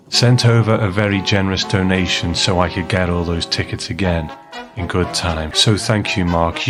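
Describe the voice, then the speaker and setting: A man with a low voice narrates as a podcast host. His delivery is measured and appreciative, like prepared remarks, with music clearly playing underneath.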